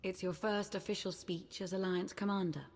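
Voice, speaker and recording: An actress playing a confident, teasing and encouraging advisor in a video game, with a husky voice. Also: female voice, medium pitch, flat delivery, normal speed, lightly acted. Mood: assured, teasing. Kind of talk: game cutscene acting.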